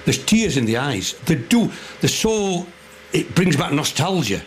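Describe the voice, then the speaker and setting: An older man with a South Yorkshire accent, a loud and jovial guest chatting in a radio interview. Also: a little high, very animated, unhurried, slight background noise. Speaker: guest, songwriter and filmmaker. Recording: music playing behind.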